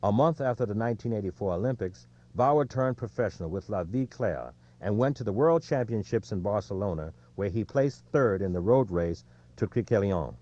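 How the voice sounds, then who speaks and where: A man reading an audiobook in a measured, clearly scripted storytelling delivery, recorded with slightly lo-fi sound. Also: fairly low pitch, animated, warm tone. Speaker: audiobook narrator.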